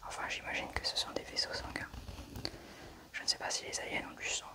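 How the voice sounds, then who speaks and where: The voice is a soft, unvoiced whisper from an ASMR roleplayer acting as a medical examiner. They move calmly through a procedure, describing each step methodically. Nothing rises to full speech. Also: brisk. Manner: calm, methodical, step-by-step narrating.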